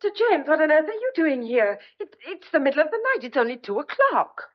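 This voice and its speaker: An actress playing an earnest, caring and quietly persistent young country woman who questions others in an old-fashioned radio drama. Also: high-pitched, very animated, fast. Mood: alarmed, pleading. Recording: dull lo-fi radio drama recording.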